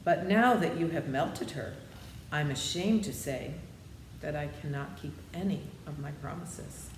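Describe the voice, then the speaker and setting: An old humbug wizard from a storybook, read by a woman, explains himself meekly and kindly. The echoey recording has faint noise behind it. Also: deep voice. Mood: confiding, explanatory. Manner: meek, explanatory, measured.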